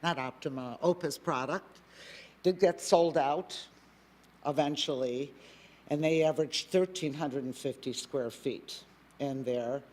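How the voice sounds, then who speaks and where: Man speaking at a public meeting, halting. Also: fairly high pitch, a little mellow. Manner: halting, many fillers and restarts. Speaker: developer at a planning meeting.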